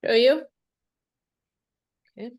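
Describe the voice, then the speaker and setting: A woman's voice: takes it slowly, a little mellow. A woman taking part in a coaching demonstration on a video call, speaking hesitantly with many fillers. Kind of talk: casual explanation.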